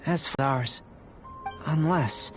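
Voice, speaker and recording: An actor playing a calm, intellectual young alchemist in an anime-style video game, speaking in a measured, polite and understated way. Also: male voice, a little high. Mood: analytical. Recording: muffled game capture with music.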